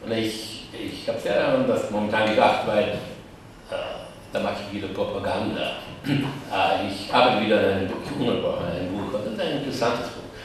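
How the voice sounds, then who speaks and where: A man's voice: fairly low pitch, slight background noise. Older man in an echoey café. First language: German. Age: 80s. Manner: slow, musing, self-correcting, wry. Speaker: guest author. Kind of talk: reflective café discussion. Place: crowded café, indoors. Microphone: distant camera mic. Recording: echoey room from far off.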